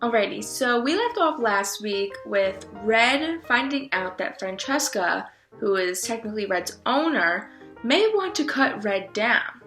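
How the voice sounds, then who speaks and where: Bright-voiced woman hosting a read-aloud. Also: a little high, very animated, measured pace, reverberant, faint music behind. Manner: explanatory, questioning the listeners. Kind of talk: children's book read-aloud with commentary.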